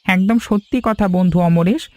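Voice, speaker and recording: A middle-aged village uncle from an animated story, played by a man, speaks in a high voice. He is earnest and effusive, a loyal, grateful friend with an excitable streak. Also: normal speed, no echo, close to an everyday voice. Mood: happy, admiring. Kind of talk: animated cartoon story acting.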